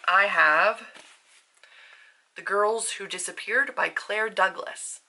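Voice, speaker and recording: A woman's voice: mid-range voice, slow pace, pushed and bright, poor audio. A woman vlogger chatting casually to her viewers in an informal, slangy, self-deprecating way. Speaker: book vlogger.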